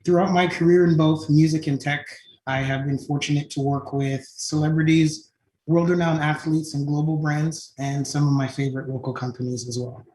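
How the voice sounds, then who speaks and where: Guy giving a measured conference talk, keeps saying right?, kinda high voice, roomy sound. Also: steady tone, darkish tone. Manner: ends points with "right?" tag questions. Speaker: tech company founder presenting. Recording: roomy echo.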